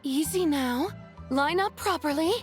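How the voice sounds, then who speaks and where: An actress playing a gentle woman keeping children in order in a video game. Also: sits high, a little slow, soft background music. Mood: gently scolding.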